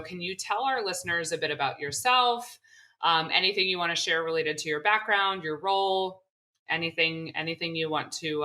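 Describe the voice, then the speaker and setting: A woman hosts a podcast interview in a friendly, chatty way, filling her pauses with ums and uhs. Her voice is low in pitch yet bright and forward. She keeps the talk flowing between questions. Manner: friendly, with many fillers. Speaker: podcast host.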